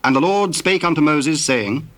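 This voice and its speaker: A man narrating dramatized scripture in a solemn, measured storytelling manner. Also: a little high, fairly bright tone.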